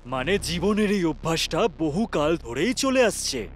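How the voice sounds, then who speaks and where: A man's voice: a little high, sing-song, a little slow. An actor playing a chatty male wedding organizer who muses drolly in a dramatized story. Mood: wry, musing. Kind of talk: comic dramatized story dialogue. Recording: faint ambient noise.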